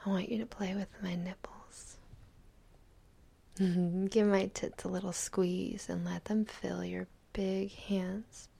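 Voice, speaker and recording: Young woman, intimate roleplay, slow husky whisper with a gravelly edge. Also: medium pitch, flat delivery. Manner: mostly whispering, soft and teasing. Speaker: audio roleplay performer.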